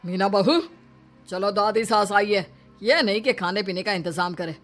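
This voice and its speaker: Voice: medium pitch, normal speed, a bit bright, natural voice. An actor playing an overbearing, bullying middle-aged father-in-law in a story, sarcastic and domineering. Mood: irritated ordering. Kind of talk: dramatised Hindi moral story. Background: music.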